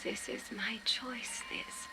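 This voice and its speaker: Lady doing a determined young woman, soft and slow, kinda whispery. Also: close to an everyday voice, poor audio. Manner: soft, often half-whispered.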